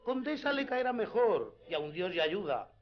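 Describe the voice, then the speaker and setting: An actor playing an earthy, simple peasant squire in a radio drama, eager, deferential and comic. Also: male voice, high voice, sing-song, moderate pace. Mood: doubting, amused. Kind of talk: classic radio drama acting. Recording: slightly dull radio drama with music.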